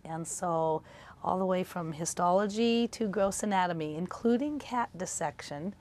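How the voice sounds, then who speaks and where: A woman's voice: on the low side, moderate pace, darkish tone. An older woman, a college instructor, introducing herself and her work informally. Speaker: college biology and anatomy instructor. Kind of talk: informal self-introduction to camera.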